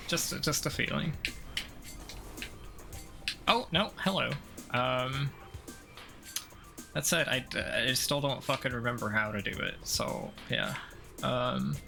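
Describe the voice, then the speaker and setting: A man talks to himself as he plays a video game, in a casual, hesitant way. His mid-pitched voice often sinks into vocal fry. He mutters self-deprecating asides and the odd swear while music plays clearly behind him. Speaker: gaming streamer playing through a game. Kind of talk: casual gameplay commentary, thinking aloud.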